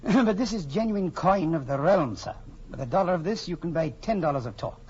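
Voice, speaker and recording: An actor playing a jovial, pompously polite but menacing crime boss in a 1940s radio drama. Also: male voice, a little high, lively intonation, brisk, a little mellow, breathy edge. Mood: genial. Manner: florid, pompous politeness with chuckling. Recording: muffled, noisy 1940s radio broadcast.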